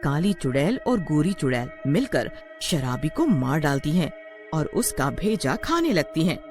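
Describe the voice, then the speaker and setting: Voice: medium pitch, big pitch swings, measured pace. A narrator reading a scripted horror story in the manner of a storyteller. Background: background music.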